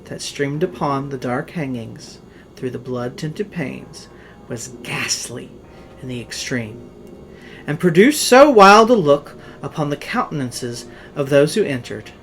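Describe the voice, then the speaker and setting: Guy reading a spooky old story all dramatic and solemn, pitch goes up and down a lot, bit of music behind him. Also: low-quality recording. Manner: theatrical, solemn, with swelling and hushed phrases. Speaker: story reader. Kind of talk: dramatic horror story narration. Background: music under the voice.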